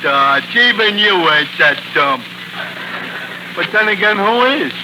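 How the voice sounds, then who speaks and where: A man's voice: high voice, lively intonation, unhurried. An actor playing a dim-witted, good-natured male tavern regular, hesitant and dopey, in a 1940s radio comedy. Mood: dopey, puzzled. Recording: noisy 1940s radio broadcast recording.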